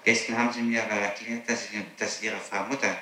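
Guy interrogating someone, talks fast, pushy factual questions, roomy old 60s tape. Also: fairly low pitch, little pitch movement, sharp tone. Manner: probing, pressing, matter-of-fact. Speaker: police interrogator. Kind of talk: formal interrogation. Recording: echoey, noisy 1960s interrogation tape.

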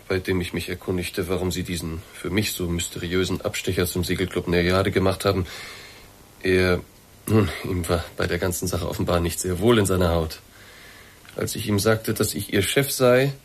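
An actor in a German radio play voicing a formal, persistent male boss, polite, measured and insistent. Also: deep voice, breathy edge. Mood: firm, revealing.

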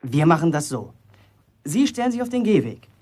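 A proud, wary teenage village apprentice in a radio play. He is terse and dry, stubbornly sure of himself, with an animated melody to his speech. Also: on the high side, moderate pace. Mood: earnest. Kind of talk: German radio drama acting.

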